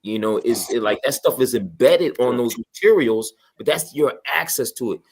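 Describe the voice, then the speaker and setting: A man chatting casually and enthusiastically, pitching with emphasis and slang and often checking that listeners follow. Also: expressive, normal speed. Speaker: guest promoting a toy radio station.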